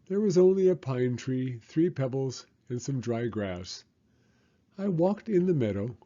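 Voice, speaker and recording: A man's voice: medium pitch, expressive, unhurried, mellow, dull audio. A man voicing a cheerful, kindly frog telling his friend a childhood memory in an earnest, lilting way. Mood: earnest reminiscing.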